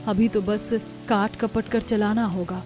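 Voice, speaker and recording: A woman voicing a gentle, self-sacrificing daughter-in-law from a poor village in a cartoon. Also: mid-range voice, steady tone, measured pace, faint music behind, lightly acted. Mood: patient.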